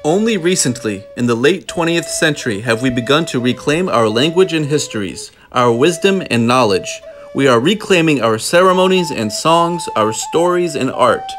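A man's voice: mid-range voice, lively intonation, normal speed. Man reading aloud over music. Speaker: storybook reader. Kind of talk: children's book read-aloud, scripted narration.

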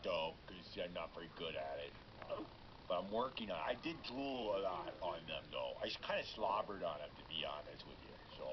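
Man voicing a low-pitched nervous llama, muffled. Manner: halting, awkward, repeats himself. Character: nervous, literal-minded male llama, awkward and friendly. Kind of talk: children's radio phone-in character. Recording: muffled, noisy re-recording off a speaker.

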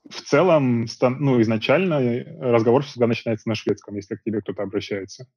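A man in his thirties, an interview guest, chatting casually in long, relaxed stretches from a small room at home over earbuds on a video call. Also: medium pitch, quick pace, breathy edge, no echo. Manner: relaxed, talks in long rambling stretches with fillers. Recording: dull dry video call audio.

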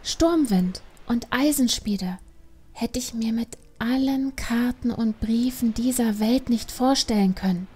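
A woman's voice: on the high side, a little slow, mellow, slightly rough. A woman playing a restless, defiant young panda adventurer in a dramatised fantasy audiobook, emotional and reproachful. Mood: wistful, frustrated.

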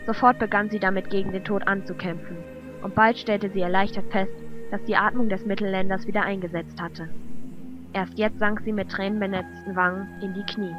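A woman's voice: mid-range voice, fairly even intonation, brisk, lo-fi sound. A woman narrating a fantasy audio drama in a scripted, dramatic storytelling style. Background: music.

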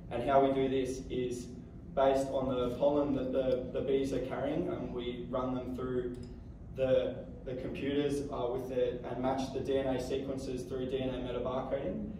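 A young man giving a graduate research talk in a lecture theatre, earnest and plain, with many uh fillers. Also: medium pitch. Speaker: graduate student presenter. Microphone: room mic from far off. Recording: very echoey hall from a distance, audible room noise.

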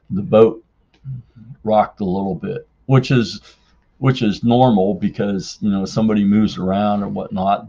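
Slow, low-voiced man on a dull recording. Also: mellow. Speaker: podcast listener leaving a voicemail story. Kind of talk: casual personal storytelling.

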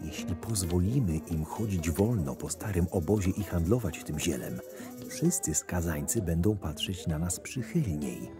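A man playing a shrewd, sardonic right-hand man to a camp boss, narrating a fantasy audio drama in a measured way. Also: low-pitched, expressive. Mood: hushed, persuasive. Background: music.

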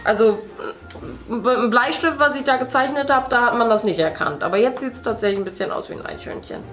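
A woman's voice: on the high side, a little fast, fairly bright tone, faint music behind. A middle-aged woman, chatting casually as she paints indoors. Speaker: host, mother. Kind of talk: casual chat while painting. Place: indoor room at a craft table.

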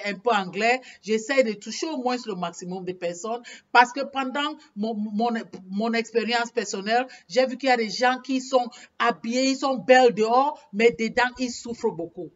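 Animated Cameroonian woman, English-speaking, webcam. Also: a little high, dull audio. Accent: Cameroonian English speaker speaking French. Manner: animated, repeats words while searching for French phrasing, gestures with hands. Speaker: pediatrician and life coach. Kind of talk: direct-to-camera motivational talk. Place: indoors in front of curtains. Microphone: webcam at desk.

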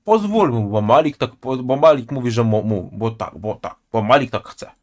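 A man's voice: medium pitch, animated, normal speed, a little mellow. A male streamer comments at home on a video he is watching. He turns sarcastic and keeps stammering and restarting his words. His voice sits in the middle of the male range. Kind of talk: casual reaction commentary.

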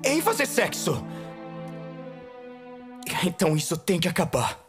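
A man's voice: high-pitched, sing-song, measured pace, a bit bright, faint music behind, full character voice. A man with a Brazilian accent voicing a regal, lovelorn owl demon prince in a dubbed adult cartoon, formal, earnest and tender. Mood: heartbroken.

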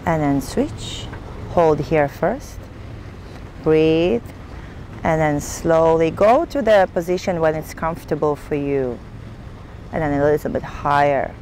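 A middle-aged woman leads gentle stretches at an unhurried pace. She speaks calmly and instructively, leaving space between each cue. Clear wind and boat noise surrounds her voice out on an open deck. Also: deep voice. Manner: calm, instructional, with pauses between cues. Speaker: yoga instructor. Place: outdoors on a sailboat deck at sea. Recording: windy outdoor boat noise.

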